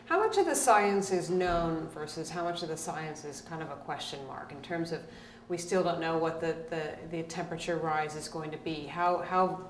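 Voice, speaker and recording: A woman from the Bahamas, a journalist interviewing a guest at a conference pavilion, asking questions in a brisk, conversational way. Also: low-pitched, echoey room, slight background noise, low-quality recording.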